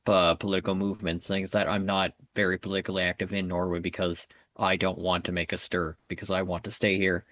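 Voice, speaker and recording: A man's voice: deep voice, little pitch movement, fast talker, hardly any pauses, a bit bright. An American man talking earnestly in a casual podcast conversation, often repeating and rephrasing his points. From United States. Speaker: podcast guest, comedian. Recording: dull, dry lo-fi audio.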